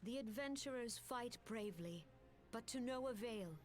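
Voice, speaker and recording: A woman playing a solemn, composed elven leader in a video game, speaking formally and gravely. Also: a little high, lively intonation, normal speed, soft background music, natural voice. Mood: grave, pleading. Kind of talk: dramatic video game acting.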